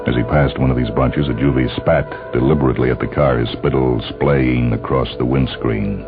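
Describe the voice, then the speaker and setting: Very deep-voiced male story narrator, dull recording. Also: fairly even intonation, a little fast. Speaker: narrator reading fiction. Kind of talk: scripted dramatic audiobook narration. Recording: dull, band-limited lo-fi audio. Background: clear background music.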